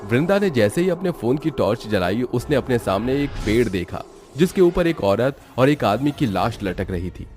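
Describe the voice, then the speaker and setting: A man's voice: medium pitch, very animated, normal speed, few pauses, darkish tone, faint music behind. A man giving scripted narration for a horror cartoon story.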